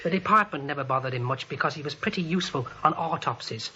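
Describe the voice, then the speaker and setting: A man's voice: fairly high pitch, fast talker, bright, forceful tone. A man playing a respectful, gossipy, folksy American police officer in a 1940s radio play. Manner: folksy, plain-spoken. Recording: dull old radio recording.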